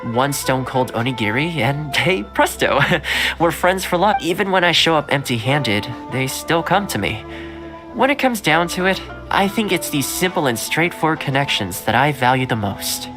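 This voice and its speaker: Game role: kind young manservant, gentle and talkative, very animated intonation, music underneath. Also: male voice, a little high, moderate pace. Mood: sincere, warm. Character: kind, chatty young male servant fond of stray animals. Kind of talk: video game voice acting, storytelling dialogue.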